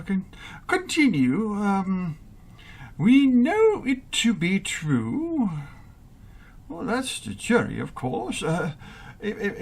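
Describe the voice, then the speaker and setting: A woman's voice: mid-range voice, heavily acted. A woman in a radio play acting as a dithering, easily amused King who stammers and hesitates.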